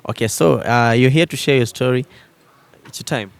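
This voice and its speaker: A man hosting an entertainment interview show, upbeat and quick with his questions. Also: medium pitch, gravelly, clean tone. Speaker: show host. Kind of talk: interview host mixing Swahili and English.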